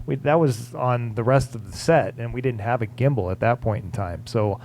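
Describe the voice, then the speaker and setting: A man's voice: medium pitch, normal speed, darkish tone, slight background noise. A man in his forties, a visual effects supervisor, talking on a theater stage into a handheld mic, hesitant with many fillers and restarts. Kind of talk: panel conversation on stage. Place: theater stage before an audience. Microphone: handheld microphone.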